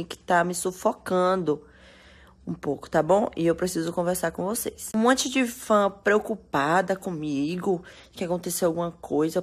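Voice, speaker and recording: A young woman talking casually to her fans in selfie phone clips, chatty and direct, soft-spoken and firm when setting limits, often checking in with "tá bom?". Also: lowish voice. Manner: chatty, addresses fans as "gente", repeats "tá bom?". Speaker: dancer and influencer talking to fans. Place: bedroom and car, indoors. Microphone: phone at arm's length.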